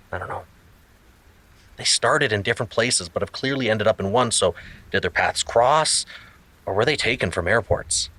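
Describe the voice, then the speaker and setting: An actor playing an earnest amateur investigator, reasoning aloud as a conversational podcast narrator in an audio drama. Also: male voice, on the low side, bright, forceful tone. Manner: reasoning aloud, self-questioning.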